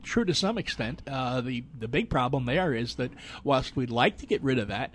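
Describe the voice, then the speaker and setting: Guy with a medium-pitched voice chatting on talk radio, kinda hesitant and musing. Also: lively intonation, moderate pace, slight background noise. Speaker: radio talk show participant. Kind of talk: casual radio discussion.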